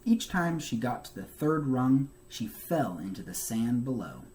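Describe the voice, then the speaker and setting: A man narrates a children's storybook in a very lively, swinging intonation. His phrasing is measured and emphatic, like a teacher reading to young children. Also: medium pitch, a little hiss or hum.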